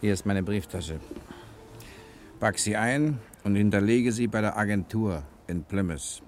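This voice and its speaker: An actor playing a cheeky, daredevil gentleman thief with an unhurried, jaunty manner in a 1960s German radio crime drama. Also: fairly low pitch, faint music behind, lightly acted. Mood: jaunty, calm.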